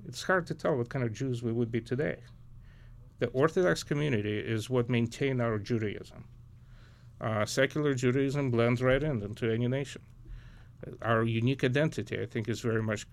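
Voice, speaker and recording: A middle-aged man talks earnestly as a guest, pausing to find his words. His voice is gravelly and sits at an ordinary pitch for a man. He comes across as thoughtful and reflective throughout. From Ukraine. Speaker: guest, nonprofit founder. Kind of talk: conversational interview.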